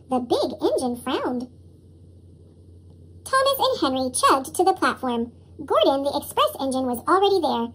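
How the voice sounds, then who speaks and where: Storybook reader, female, squeaky chipmunk-shifted voice, very high, lively and expressive delivery for young children. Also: poor audio. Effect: sped-up chipmunk pitch shift. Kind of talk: expressive children's read-aloud narration.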